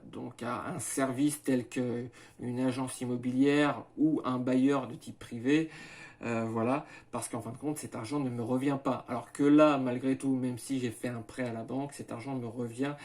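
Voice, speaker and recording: A man in his thirties talks to camera about himself, pausing often on filler sounds and restarting his sentences. He lays things out methodically, point by point. His pitch sits in the middle range for a man. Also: gravelly, darkish tone. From France, Maine-et-Loire. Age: 30s. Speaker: small farm owner presenting his project. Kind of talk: hesitant self-presentation to camera.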